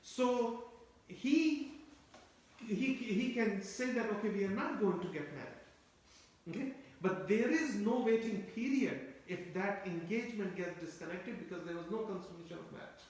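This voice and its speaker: Echoey, distant lecturing man with a high voice. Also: measured pace. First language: Turkish. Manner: explanatory, repeats phrases, asks listeners rhetorical questions. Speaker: Quran teacher. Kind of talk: tafsir lecture. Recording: very echoey room, voice from far off.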